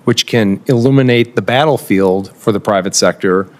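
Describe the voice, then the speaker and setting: A fairly low-voiced man gives formal testimony as a nominee. His delivery is measured, built from careful policy jargon. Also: darkish tone, slight background noise.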